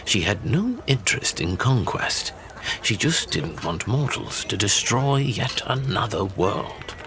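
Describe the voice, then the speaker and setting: An actor playing a measured storyteller who recounts legends in solemn, deliberate, theatrical fantasy narration. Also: male voice, on the low side, noisy background.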